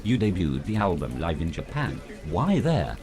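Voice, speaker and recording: A man's voice: deep voice, sing-song, moderate pace. A man voicing a courteous, inquisitive interviewer bot, reading scripted questions formally.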